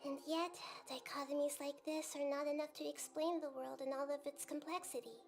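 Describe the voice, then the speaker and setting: A woman voicing a small, wise girl goddess who speaks gently and calmly, often close to a whisper. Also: high voice, gravelly. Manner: soft, measured, often near a whisper. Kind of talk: game cutscene dialogue.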